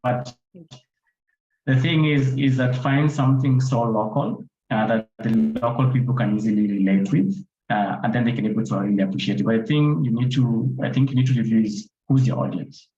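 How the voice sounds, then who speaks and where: Kenyan man, lowish voice, panel explainer, rhetorical questions, echoey room sound. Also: darkish tone. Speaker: conservation photographer and filmmaker, panelist. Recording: dull, roomy online-call audio.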